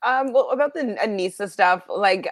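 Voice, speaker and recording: A woman's voice: medium pitch, normal speed, no echo. A woman chatting casually and giving her informal opinions as a panelist on a recap show. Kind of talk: casual chat.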